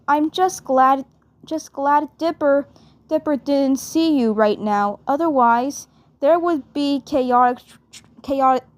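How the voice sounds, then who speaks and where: A woman playing a bubbly, affectionate girl, speaking haltingly and repeating her words and phrases. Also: high voice, no echo. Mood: reassuring, fond.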